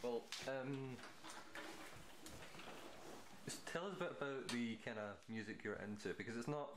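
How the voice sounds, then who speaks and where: A young man asking interview questions in a casual, halting way with lots of ums. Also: medium pitch. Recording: echoey room, faint noise.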